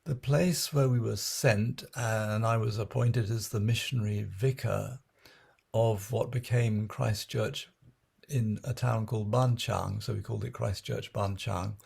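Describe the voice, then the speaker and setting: An older man, a retired Anglican priest, recalling his life in an unscripted, halting way, with many fillers and restarts. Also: lowish voice, steady tone, creaky, a little mellow, breathy edge. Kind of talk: unscripted reflective memoir narration.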